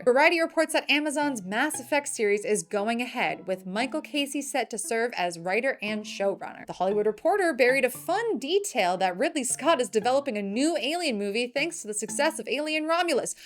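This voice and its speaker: High-voiced chatty woman hosting entertainment news. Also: fairly bright tone. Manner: chatty, playful fan commentary. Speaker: host of a fantasy movie news show. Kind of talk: scripted news narration with casual, opinionated asides. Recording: music bed behind the voice. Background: background music.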